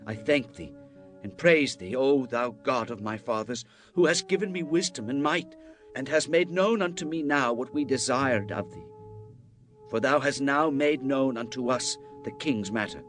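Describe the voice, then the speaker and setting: A male actor as a devout prophet in a scripture drama, proclaiming with solemn fervour. His pitch swings widely between reverent, weighty pauses. Music plays clearly behind him. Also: animated, fairly bright tone, close to an everyday voice. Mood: fervent thanks.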